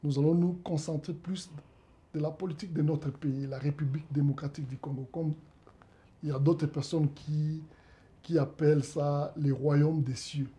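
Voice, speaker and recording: Mellow-voiced male talk show host. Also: a little high, fairly even intonation, moderate pace. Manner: formal, emphatic introductions. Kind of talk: hosting an interview.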